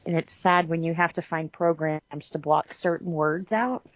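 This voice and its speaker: A woman co-host with a low voice, talking casually. The recording is dry and somewhat dull, with little sense of a room. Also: normal speed, dry recording. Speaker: podcast co-host. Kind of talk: casual chat.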